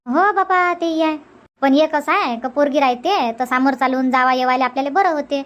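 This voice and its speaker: A woman's voice: high-pitched, normal speed, a bit bright. A woman voicing an opinionated, chatty village aunt in a cartoon comedy, with a rural Vidarbha Marathi accent.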